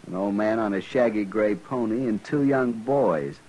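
A man's voice: lowish voice, normal speed, dark tone, natural voice, poor audio. A man playing a young, arrogant, eager cavalry lieutenant in a 1950s radio western, clipped and formal in manner. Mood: cocky, combative. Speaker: supporting actor in a western radio drama. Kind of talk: 1950s radio drama acting.

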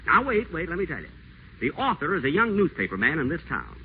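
A man's voice: medium pitch, sing-song, fairly quick. An actor playing an acerbic, scheming, pompous man in a wheelchair in a 1940s radio play, with a sardonic, theatrical delivery. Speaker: radio celebrity. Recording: tinny old radio broadcast, faint hiss.

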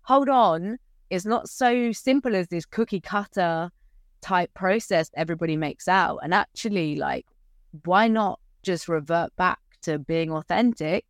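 A woman coach talks in a relaxed, conversational way, her voice on the deep side. She gets animated when she retells stories, and the recording is close and dry. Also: measured pace, no room sound. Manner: animated, acts out quotes with rising pitch. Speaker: communication coach, guest. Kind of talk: casual conversation.

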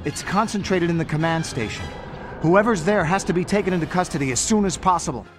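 An earnest young Jedi knight, in a space opera radio drama. He talks urgently and decisively, with an expressive, slightly high voice over prominent music. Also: fast talker. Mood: focused.

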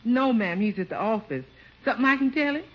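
An actress playing a good-natured, folksy housekeeper, polite and deferential, in a 1950s radio comedy. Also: female voice, on the high side, animated, quick pace. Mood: obliging. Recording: dull, noisy vintage radio recording.

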